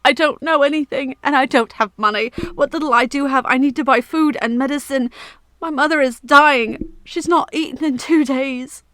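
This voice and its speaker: A woman's voice: fairly high pitch, racing, few pauses, a bit bright, dry recording, voice pushed up, lightly acted. A woman reading aloud, voicing a desperate young man who begs in a shaky, tearful voice. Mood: pleading.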